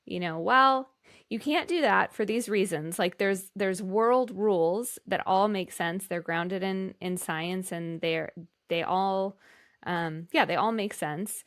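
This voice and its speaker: A woman's voice: mid-range voice, takes it slowly, a bit bright. A woman co-hosting a podcast, talking in a casual, enthusiastic and effusive way. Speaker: podcast co-host. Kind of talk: casual conversational discussion.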